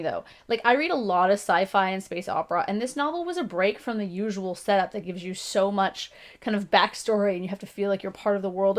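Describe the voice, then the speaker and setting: A Canadian woman in her thirties with a slightly gravelly voice, hosting a casual book review channel, chatty and self-deprecating. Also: medium pitch. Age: 30s. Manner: chatty and self-deprecating, with frequent laughs and restarts.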